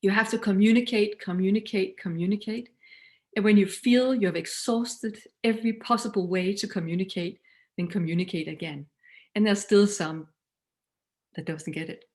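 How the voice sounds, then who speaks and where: A woman from Denmark with a Danish accent, a mission leader giving a prepared talk in an earnest, measured way that trails off softly at phrase ends. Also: medium pitch.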